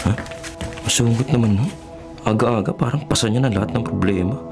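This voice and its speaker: A man's voice: medium pitch, a little fast, gravelly, over loud music, natural voice. A man acting in a Filipino radio drama as a polite, inquisitive young journalist, courteous and tentative in a conversational way. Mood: puzzled. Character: polite, inquisitive male journalist visiting a small town.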